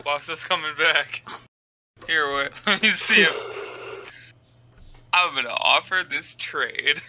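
A man's voice: on the high side, slow, crowd noise, rough recording. A young man playing an online board game with friends, making short teasing quips. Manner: quippy, short teasing remarks. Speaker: player. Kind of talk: casual game chat with friends.

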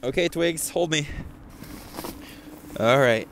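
A young man on a snowy mountain ski tour, chatting casually and excitably into a body-worn action camera, swearing and laughing often. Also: on the high side, slow, slight background noise. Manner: excitable, swears, laughs a lot, says "dude". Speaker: skier and vlogger. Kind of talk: casual outdoor chat while ski touring. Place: outdoors on a snowy mountain couloir.

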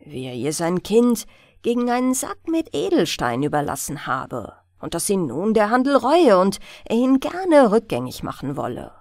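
A woman narrating a fairy tale as a bedtime audiobook, in a deliberate, expressive storytelling manner. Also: mid-range voice, no room sound, studio quality.

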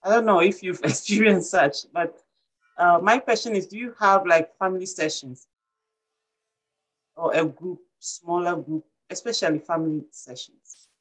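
A woman's voice: on the low side, moderate pace, poor audio. A middle-aged woman with a Ghanaian accent, asking a question on a video call, hesitant and thinking aloud. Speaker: community member asking a question. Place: home room indoors. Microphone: video call webcam.

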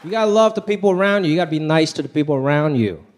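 A man from Hong Kong doing stand-up on stage with a handheld mic, his voice pitched high and bouncing all over the place as he builds to punchlines. A faint audience murmur and a little background noise sit under the live recording. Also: rapid-fire. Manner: animated, pitch swinging high and low for punchlines. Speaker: stand-up comedian. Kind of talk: stand-up comedy set. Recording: live show with faint crowd and room noise.

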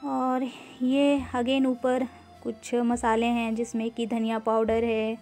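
A woman giving an unhurried, casual walkthrough of a grocery store, filming on a handheld phone and mixing English words into her talk with frequent "uh" fillers. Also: a little high, monotone, darkish tone, faint music behind. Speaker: vlogger touring a grocery store.

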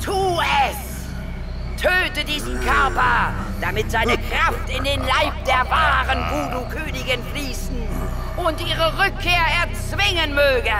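An actress playing a cruel, imperious voodoo queen in a German audio drama, taunting and drawing out her words. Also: sits high. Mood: commanding, fervent. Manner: imperious, taunting, drawn-out delivery. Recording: dramatic music behind the voice.

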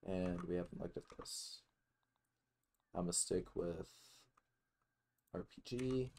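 A man's voice: sits low, takes it slowly, a little mellow, a little hiss or hum. A man giving casual let's play commentary, murmuring short reactions to himself as he plays. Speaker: gaming video host.

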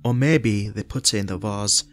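A man's voice: fairly low pitch, normal speed, a bit bright, soft background music, close to an everyday voice. A man acting as an earnest, inexperienced junior detective in an audio drama, young and formal. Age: young adult. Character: earnest junior male police detective, official, green.

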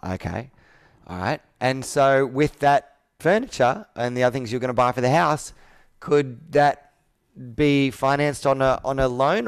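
A man's voice: mid-range voice, clear, resonant tone, no echo. A man in his thirties working as a sales coach, asking direct, probing, persuasive questions in a conversational roleplay on a video call. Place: indoor room with whiteboard, on a video call.

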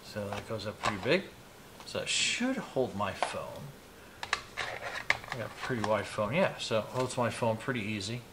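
A man in his fifties giving a casual, unhurried product demonstration, explaining each step informally as he goes. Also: on the low side, animated. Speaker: product reviewer demonstrating gadgets on his channel. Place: small indoor hobby room at a desk. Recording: clear background noise, clicks of handled items.

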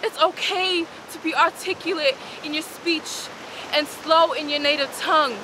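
A woman's voice: sits high, moderate pace. A young woman performing spoken word poetry outdoors on a rocky beach, with a rhythmic, emphatic delivery. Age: young adult. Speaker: poet. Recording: outdoor recording with loud surf noise. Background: crashing ocean waves.

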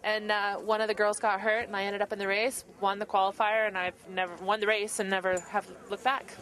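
Fast-talking woman athlete. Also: mid-range voice, flat delivery, a bit bright. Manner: quick, storytelling. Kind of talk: casual interview answers.

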